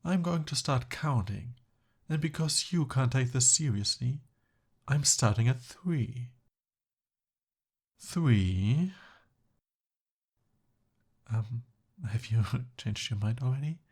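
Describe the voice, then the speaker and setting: A man's voice: medium pitch, animated, slow, pauses often, slightly rough, full character voice. A man playing a pompous young human prince in an ASMR roleplay, speaking formally but flustered and pleading. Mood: flustered bluster.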